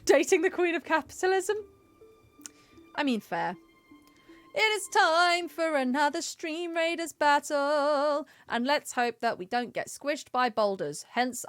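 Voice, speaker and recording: A woman's voice: high-pitched, no echo. A woman giving casual live-stream game commentary, chatty and playful as she muses aloud. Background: game music.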